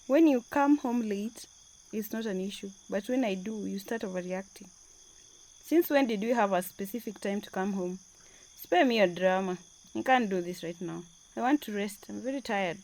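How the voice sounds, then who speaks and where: A woman's voice: medium pitch, expressive, moderate pace, darkish tone, lightly acted, rough recording. A woman acting a bold, self-assured working wife in a radio drama. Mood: irritated, defiant. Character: bold, defiant, fun-loving working wife.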